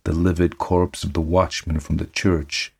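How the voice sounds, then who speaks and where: A man reading a ghost story in a put-on American accent, voicing a dying man making his last confession in grave, measured tones. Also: sits low, little pitch movement, creaky, breathy edge, heavily acted. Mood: grim.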